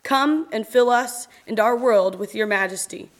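Female reader, fairly high voice, very slow and measured, reciting scripture and verse solemnly with expressive pauses. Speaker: reader at a church service. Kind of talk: solemn reading of scripture and poetry.

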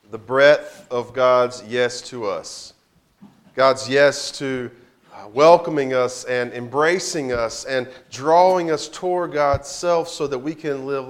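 A man speaking as a pastor inviting people to communion, reflective and halting, repeating phrases as he goes. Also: medium pitch.

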